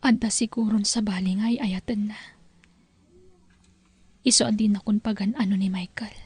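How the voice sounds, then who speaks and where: A woman's voice: mid-range voice, fairly even intonation, normal speed, darkish tone, breathy edge, dry recording. A woman playing an ailing, weary young married daughter who hides her illness, with an Ilocano accent, in a radio-style drama. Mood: weary, resentful. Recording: music behind the voice.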